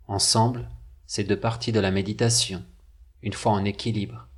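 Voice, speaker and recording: A man narrating a scripted guided meditation, with measured, deliberate phrasing and pauses. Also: fairly low pitch.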